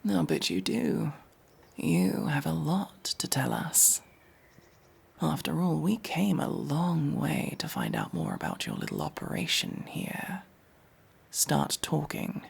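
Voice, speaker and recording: A man playing a cold, sardonic masked elf mercenary in a fantasy audio roleplay, menacing and dryly sarcastic, with a gravelly, husky voice. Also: on the high side, wildly swooping pitch, whispered, heavily acted. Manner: slow, menacing, dry sarcasm.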